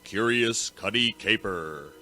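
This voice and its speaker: A man's voice: fairly low pitch, slow, over music. A man announcing in the style of an old-time radio show.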